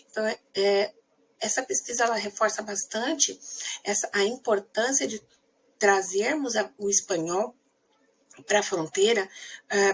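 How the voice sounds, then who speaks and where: A woman's voice: medium pitch, slightly rough, rough recording. A young woman presenting a research text for a class, hesitant, with many fillers and restarts. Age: young adult. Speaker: student presenter.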